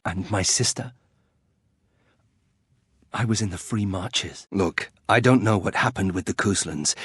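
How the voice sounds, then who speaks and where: A proud, brooding young noble archer from a fantasy game, played by a man, with a low, husky voice. He is terse and guarded, with a dry wry edge, recorded very close and dry. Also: no room sound, natural voice. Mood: wry, bitter. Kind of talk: video game character acting.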